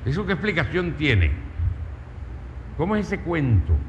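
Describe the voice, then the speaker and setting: A man's voice: fairly high pitch, big pitch swings, unhurried. A man with an accent from Colombia's Caribbean coast telling a story in a public talk, emphatic and rhetorical, piling up lists and questions. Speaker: speaker, writer. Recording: dull, reverberant hall with faint noise.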